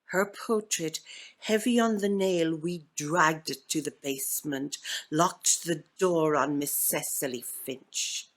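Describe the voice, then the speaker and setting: An Irish woman reading a poem as an actor would, with measured, deliberate and theatrical phrasing. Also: deep voice. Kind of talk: dramatic poetry reading.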